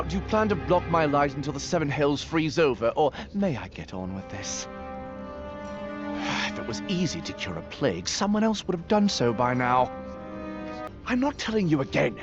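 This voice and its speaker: Game casting: male actor as irritable sardonic academic drinker, very animated intonation, slightly dull audio. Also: fairly high pitch, lightly acted. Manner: testy, wordy, sardonic. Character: irritable bookish cider-drinking male scholar. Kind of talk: video game cutscene acting. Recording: dull muffled audio under clear music.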